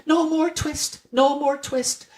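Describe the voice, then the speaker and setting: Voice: high-pitched, steady tone, slow, a little mellow, pitched above the performer's own voice. A narrator voicing a chorus of tiny, merry, cheeky mice in thin, twittering singsong for a storybook. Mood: taunting. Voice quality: small, thin, twittering voices. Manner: singsong nursery-rhyme chanting.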